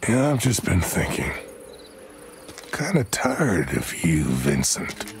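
A weary old gunslinger from a Western game, full of cold contempt. The voice is deep and gravelly, drawn out slowly but swinging in pitch. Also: male voice, big pitch swings, noisy background, exaggerated voice. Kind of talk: video game cutscene acting.

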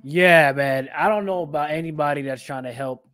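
A man's voice: a little high, moderate pace, fairly bright tone, dry recording. Young male reaction streamer, close and dry. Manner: short interjections, slangy. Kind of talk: casual live reaction commentary. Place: small indoor room with green screen. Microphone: close desk microphone, headphones on.

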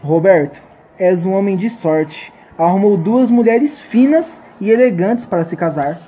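A woman playing a gushing high-society dinner guest in an amateur radio drama, with a husky voice. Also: animated, a little slow, dark tone. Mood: admiring.

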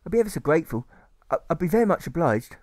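A man's voice: on the high side, sing-song, racing, a little mellow, dry recording, voice pushed up. A man plays a timid young boy in an audiobook. The voice is raised, with a repeated stammer on opening sounds. He pleads earnestly and politely, recorded dry. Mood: polite pleading.

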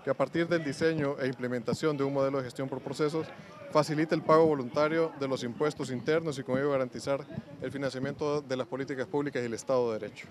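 A man's voice: mid-range voice, fairly even intonation, fast. A man reading a formal statement aloud into press microphones. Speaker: government adviser, spokesman. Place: outside a gated office building. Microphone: handheld press microphones. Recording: outdoor press scrum with crowd murmur.

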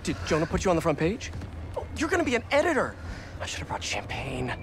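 A man's voice: a little high, wildly swooping pitch, quick pace, heavy background noise. A man playing an earnest, supportive young American superhero boyfriend in a video game, playful and encouraging. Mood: excited. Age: young adult. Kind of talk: video game cutscene acting, casual banter.

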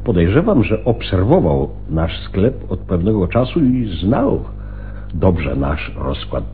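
Flustered shopkeeper, wildly varying pitch. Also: male voice, big pitch swings, faint music behind, exaggerated voice. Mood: agitated. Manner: hesitant, flustered, halting. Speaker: radio drama actor. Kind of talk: radio play acting. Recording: narrow, phone-like radio recording with hiss.

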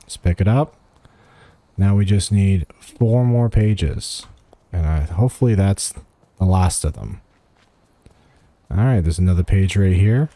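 A man's voice: low-pitched, measured pace, pauses often, warm tone, dry recording. A man giving a casual video game walkthrough, thinking aloud and chatting to the characters as he plays. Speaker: gaming guide host. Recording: music behind the voice.

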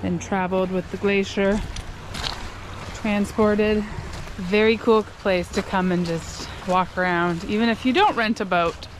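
A woman's voice: mid-range voice, fairly even intonation, unhurried. A woman in her thirties vlogging on a foggy lake shore, chatty and full of wonder. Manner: enthused, marvelling, chatty. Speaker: travel vlogger. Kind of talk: casual vlog narration. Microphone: handheld action camera. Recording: outdoor wind and water noise.

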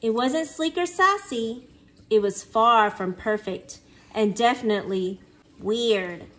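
A woman's voice: fairly high pitch, slow, a bit bright. A woman reading a children's picture book aloud expressively, acting out exclamations and sound effects. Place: living room at home.

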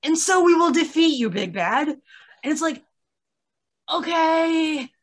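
A woman's voice: sits high, slow. Woman, thirties, opinionated casual storyteller with a wry, exasperated delivery, recorded at home on a video call. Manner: animated storytelling with acted-out asides and wry exasperation. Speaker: podcast reviewer in a video chat. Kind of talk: casual chat, opinionated recounting.

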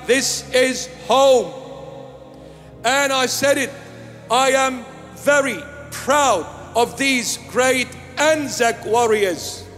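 A man's voice: extremely high pitch, fairly bright tone, long reverb tail. An older man, born in Iraq, preaching an emphatic sermon into a handheld microphone in a small church, repeating key phrases. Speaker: bishop. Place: small church with altar and candles.

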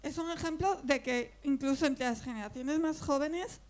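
A woman asking a spontaneous question from the audience at a public event, halting and pausing between phrases. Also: high-pitched, a little mellow. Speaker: audience member, secondary school teacher.

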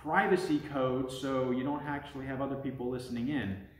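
American dad in a roomy home. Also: male voice, mid-range voice, steady tone, brisk, a little hiss or hum. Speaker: father hosting a family product review. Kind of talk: casual family vlog talk. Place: living room at home. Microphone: camera a short distance away. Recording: roomy home sound with music behind.

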